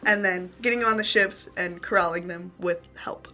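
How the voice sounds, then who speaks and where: A woman's voice: lowish voice, fairly even intonation, moderate pace, pushed and bright, faint music behind. A woman chatting casually and playfully as a player in a tabletop roleplaying game.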